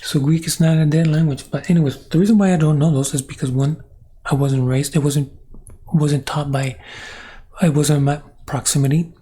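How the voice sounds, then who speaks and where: A man's voice: on the high side, steady tone, normal speed, mellow, husky. A man whose first language is Spanish, thinking aloud in a rambling monologue with many restarts and questions to the viewer. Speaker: channel host. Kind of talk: reflective monologue.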